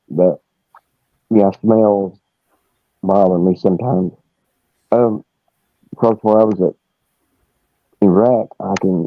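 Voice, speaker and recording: A man's voice: fairly low pitch, no echo. A man casually recounting personal experiences as a guest, rambling and searching for words. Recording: dry, thin phone-like line.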